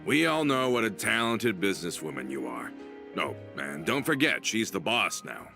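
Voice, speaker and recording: A man playing a gruff, deferential henchman in a video game, measured and loyal to his boss. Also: on the low side, big pitch swings, over music. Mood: reassuring. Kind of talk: video game character acting.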